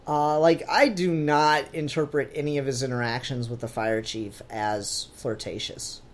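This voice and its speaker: A man's voice: mid-range voice, fairly even intonation, moderate pace. A man chatting casually and informally as co-host of a fan discussion podcast. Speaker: comic book review podcast co-host.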